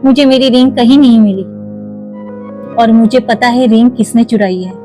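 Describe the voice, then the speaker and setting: Imperious household madam for a cartoon, played by a woman; high-pitched, quick to blame. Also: dark tone, soft background music, natural voice. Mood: accusing, indignant. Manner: imperious, insistent. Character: bossy, accusing wealthy lady of the house.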